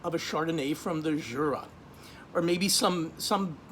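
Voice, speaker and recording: A middle-aged man from Austria, casually presenting a wine in a relaxed, chatty way, full of ums and restarts. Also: on the high side, measured pace, slight background noise. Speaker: wine shop buyer. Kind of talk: casual wine tasting video.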